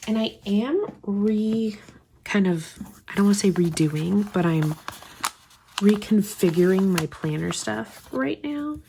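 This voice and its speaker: Slightly stuffy woman, gravelly, chatting softly. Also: medium pitch, animated, slow pace, a little hiss or hum. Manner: chatty, thinking aloud, trailing into whispers. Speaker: planner vlogger. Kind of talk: casual craft chat while planning.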